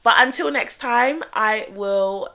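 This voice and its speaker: A woman hosting a true crime show, talking in a measured, analytical way with small restarts, her voice still slightly groggy after being ill. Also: fairly high pitch, sharp tone. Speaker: true crime channel host. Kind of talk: true crime explainer monologue.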